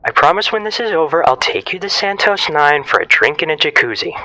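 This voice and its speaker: An actor playing a flippant, excitable spaceship partner in a sci-fi audio drama, with a casual, teasing manner. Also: medium pitch, brisk, low-quality recording.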